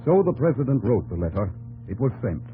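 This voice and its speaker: An actor playing an earnest, loyal young army captain who narrates and acts in a 1950s radio drama, solemn and respectful. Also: male voice, medium pitch, very animated, brisk, phone-quality sound, lightly acted. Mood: solemn narration. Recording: old radio broadcast with music bed.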